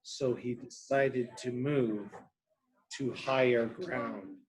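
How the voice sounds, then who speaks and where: A woman reading a picture book aloud to a child as a teacher, expressive, coaxing and explanatory. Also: rumbling low, slow pace, poor audio. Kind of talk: children's story read-aloud with questions.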